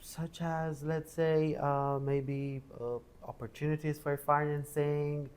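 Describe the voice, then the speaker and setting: Man, fairly high pitch, hesitant, interviewer. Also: little pitch movement, slow, a little mellow, clean tone. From Romania. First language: Romanian. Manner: hesitant, searching for words with fillers. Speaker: journalist, interviewer. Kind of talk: interview questions.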